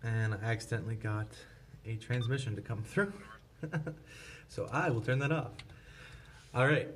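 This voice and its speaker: A man's voice: medium pitch, normal speed. A man presenting a casual hands-on product tutorial, explaining informally step by step without a script. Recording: clear background noise.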